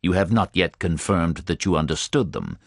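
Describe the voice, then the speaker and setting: A man reading a novel aloud voices a formal, precise detective captain with a deep, rumbling voice. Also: very animated, moderate pace, creaky, no echo, studio quality, exaggerated voice.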